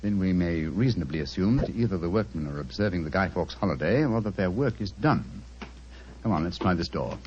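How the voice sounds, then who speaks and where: An actor playing a calm, precise British gentleman detective with an authoritative manner in an old-time radio drama. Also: sits low, fairly quick. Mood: wary, alert. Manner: precise, authoritative, coolly deductive. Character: calm, incisive gentleman detective in Victorian London. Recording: dull, faintly hissy vintage radio recording.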